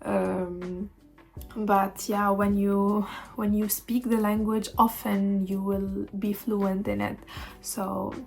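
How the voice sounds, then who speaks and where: A young woman, telling a casual storytime vlog with many pauses and fillers. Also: medium pitch, level tone, vocal fry, a little mellow, soft background music. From Tunisia. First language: Arabic. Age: 20s. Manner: hesitant, many fillers and restarts. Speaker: vlogger.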